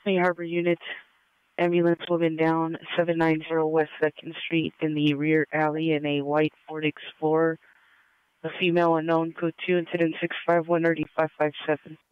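A man's voice: on the high side, monotone, normal speed, smooth, no echo, dull audio. A man broadcasting procedural call details as a police dispatcher over scanner radio.

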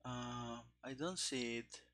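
A man in his thirties thinking aloud as he codes live, halting and muttering in fragments into a headset mic. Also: mid-range voice, fairly bright tone. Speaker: game developer streaming his coding. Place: small room indoors.

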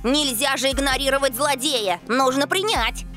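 Cocky cartoon boy, high voice, eager and a bit vain, emphatic. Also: normal speed, sharp tone, faint music behind. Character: brash, eager, vain boy robot pilot. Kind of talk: animated cartoon dub.

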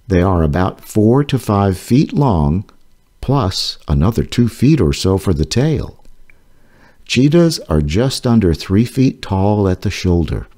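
A man reading his own children's picture book aloud, in a gentle storytelling manner with a lively rise and fall. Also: on the low side, slow. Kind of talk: slow children's read-aloud. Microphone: voice-over over book pages.

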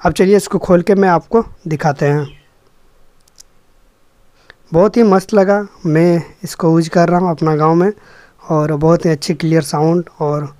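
A man's voice: on the high side, warm tone, no room sound. Young man, dry sound. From Jharkhand, India. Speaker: electronics repair vlogger. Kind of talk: casual hands-on demonstration narration.

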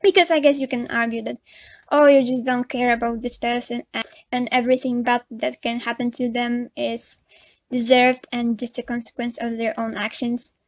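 A woman's voice: on the high side, flat delivery, normal speed, no room sound. Polish woman, frank chatty commentary. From Poland. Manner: frank, chatty, with nervous laughs and many fillers. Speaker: commentary YouTuber. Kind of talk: casual commentary over a drawing video.

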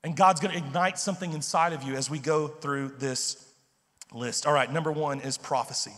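A man's voice: fairly high pitch, fairly even intonation, moderate pace, a bit bright. A man in his thirties preaching a sermon on a church stage into a handheld mic, emphatic and conversational, often checking in with "okay?" and "right?". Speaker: pastor. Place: large church stage with purple lights. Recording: long hall reverb.

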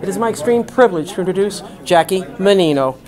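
A man's voice: high-pitched, expressive, fast talker, darkish tone. A man, a market vendor hosting and introducing sellers in an upbeat, folksy salesman manner. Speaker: market vendor and video host. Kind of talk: promotional introductions and voice-over.

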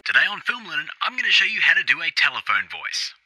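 A man's voice: fairly high pitch, very animated, fast, pushed and bright, faint music behind, poor audio. A man delivering a scripted tutorial intro through a telephone and radio filter that makes him thin and fuzzy. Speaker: tutorial host.

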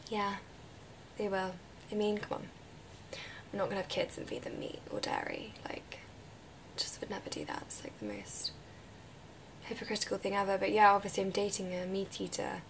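A woman in her twenties with a croaky voice from a recent illness, chatting casually as she answers viewer questions to camera in her bedroom. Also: medium pitch, normal speed, pauses often, poor audio. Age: 20s. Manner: chatty, relaxed, drifts into breathy whispers. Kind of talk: casual Q&A vlog. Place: bedroom, indoors. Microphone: camera mic at close range.

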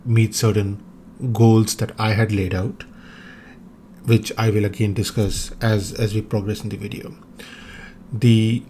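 A low-pitched man, a native Hindi speaker, walks through his own hobby hardware design over a screen recording. He speaks slowly, pausing often with ums and self-corrections. A faint background hiss sits under the voice. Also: darkish tone. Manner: hesitant, many ums and restarts. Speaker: maker explaining his own 3D printer design. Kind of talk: screen-recorded technical walkthrough.

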